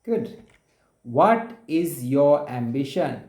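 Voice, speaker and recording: A man's voice: mid-range voice, big pitch swings, extremely slow, a little mellow, roomy sound. A man with a Sri Lankan accent teaching spoken English, patiently repeating questions and giving brief praise over a call. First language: Sinhala. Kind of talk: oral drill over a call.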